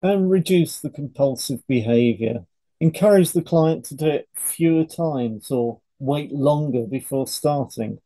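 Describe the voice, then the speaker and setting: A man co-hosting an educational podcast, reading scripted material in a measured, instructive way. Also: medium pitch, dark tone, poor audio. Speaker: podcast co-host, hypnotherapist. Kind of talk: scripted educational podcast read aloud in turns.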